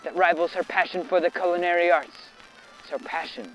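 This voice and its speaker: A man's voice: high-pitched, fairly quick, a bit bright, soft background music, poor audio. A young man giving blunt, sarcastic opinions and sketch banter in a comedic video essay.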